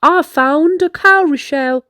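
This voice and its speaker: Woman voicing an eager, high-pitched little boy. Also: slow pace, pushed and bright, no echo, clean studio sound, full character voice. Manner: eager, exclaiming. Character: excited, curious young boy on a beach adventure.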